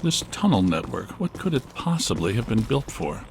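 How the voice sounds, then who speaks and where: An actor playing a brainy, matter-of-fact middle-aged scientist ghost hunter in a video game, precise and clinical. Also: male voice, fairly low pitch, sing-song, moderate pace, vocal fry, long reverb tail, noisy background. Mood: observant.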